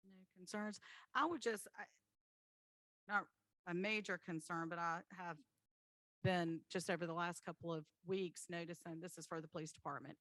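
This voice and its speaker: A woman leads a city hall committee meeting, her voice bright and forceful, slightly lower than most. She works through motions and votes in a procedural, businesslike way. The sound is close and dry. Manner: businesslike, procedural, with frequent um fillers. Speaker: committee chair.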